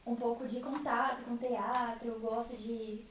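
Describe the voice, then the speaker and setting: A woman's voice: on the high side, flat delivery, a little slow. A shy, nervous young Brazilian woman sharing her own experience casually in a group. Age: young adult. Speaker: student member of a theatre group. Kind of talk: casual personal testimony in a group. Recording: very echoey room, distant mic, faint noise.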